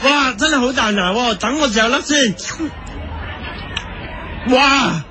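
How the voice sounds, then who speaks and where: Male actor for comic gluttonous food presenter, Hong Kong Cantonese, piercingly high and sharp, over-the-top and repetitive. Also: lively intonation, takes it slowly, some chatter behind, a little hiss or hum, full character voice. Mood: enthusiastic. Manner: exaggerated, repetitive verbal tic, eager explaining. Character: gluttonous, self-satisfied TV food show host, a man. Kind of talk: parody radio drama performance.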